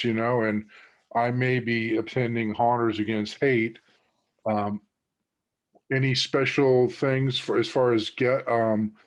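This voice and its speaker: A man hosting a podcast, asking his guests a question haltingly and searching for words. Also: medium pitch. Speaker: podcast host. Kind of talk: casual interview questions.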